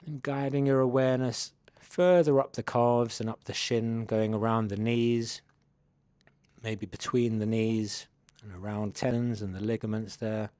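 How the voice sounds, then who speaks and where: A man leading a guided body scan meditation, giving gentle, unhurried invitations as a mindfulness instructor. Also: medium pitch, steady tone, dry recording.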